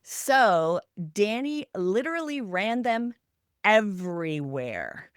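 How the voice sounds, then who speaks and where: A woman's voice: medium pitch, big pitch swings, slow, no echo, studio quality. A woman hosting a podcast, sharing anecdotes and advice in a conversational way. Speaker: podcast host.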